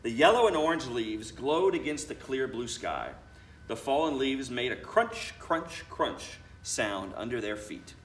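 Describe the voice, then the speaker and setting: A man's voice: medium pitch, very animated, unhurried. A man, a school principal, reading a children's picture book aloud expressively, with chatty asides to the children. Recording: roomy, distant mic, faint noise.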